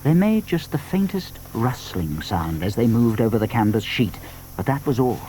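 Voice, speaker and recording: A man with a British accent narrating a scripted children's story in an expressive storytelling manner. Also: mid-range voice, moderate pace, a little hiss or hum.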